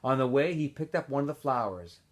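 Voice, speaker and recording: A man, a teacher, reading a novel aloud for students, with expressive delivery, small asides and self-corrections. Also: mid-range voice, fairly quick. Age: adult.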